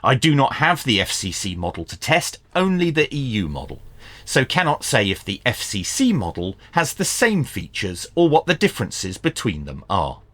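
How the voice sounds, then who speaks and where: A man's voice: medium pitch, huge pitch swings, moderate pace, fairly bright tone. A man presenting an unboxing product review in a plain-spoken, informal, opinionated way. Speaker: reviewer and presenter of radio gear.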